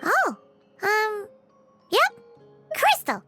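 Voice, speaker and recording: A woman voicing a small, excitable floating fairy companion in a video game, with a very high, squeaky voice and an eager, chatty way of talking. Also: very slow, heavily acted. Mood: chirpy. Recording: game audio over background music.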